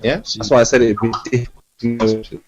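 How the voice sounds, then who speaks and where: A man's voice: medium pitch, steady tone, moderate pace, lo-fi sound, crowd noise. A man explaining and arguing casually in an online group call. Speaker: participant in an online group debate. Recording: faint noise and clicks on call.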